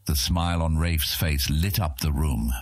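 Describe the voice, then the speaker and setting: A man's voice: very deep voice, measured pace, darkish tone, no room sound, studio quality. A man narrating a scripted fantasy audiobook in a dramatic storytelling style.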